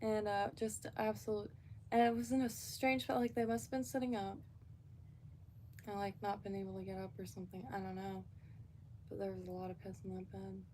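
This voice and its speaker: A woman's voice: mid-range voice, flat delivery, a little fast, a little mellow, a little hiss or hum. A woman from Florida, chatting casually and rambling on a live stream with lots of fillers and restarts.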